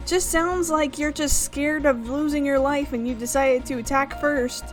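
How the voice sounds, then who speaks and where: A woman doing expressive let's play commentary, mixing dramatic reading of a visual novel with casual, reactive asides. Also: sits high, moderate pace. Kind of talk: game commentary mixed with dramatic read-aloud. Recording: music playing behind the voice.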